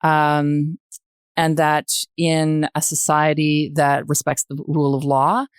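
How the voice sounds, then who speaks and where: A Canadian woman in her sixties speaking as a podcast guest, explaining things in a measured, thoughtful way. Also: deep voice, flat delivery, clear, resonant tone, no echo, studio quality. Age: 60s. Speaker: podcast guest, retired journalist. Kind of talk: thoughtful conversational discussion.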